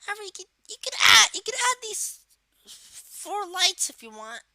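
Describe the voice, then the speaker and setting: Little cartoon turtle villain teaching software, super sharp voice, swoops all over, really dry close sound. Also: very high-pitched, sing-song, moderate pace, creaky, no room sound, full character voice. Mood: instructive, animated. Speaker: tutorial presenter. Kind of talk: software modding tutorial, improvised walkthrough.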